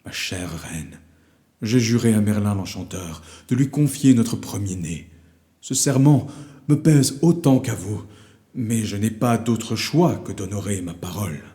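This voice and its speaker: A man's voice: fairly low pitch, lively intonation, measured pace, mellow. A man voicing a grave, lovesick king in an audio storybook, with solemn, emphatic and formal speech. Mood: sorrowful resolve.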